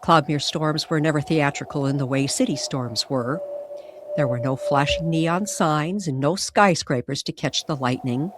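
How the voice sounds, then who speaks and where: A woman reading a dramatic scripted paranormal story with suspenseful pauses and careful, measured phrasing. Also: sits low, noisy background. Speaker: storyteller narrator.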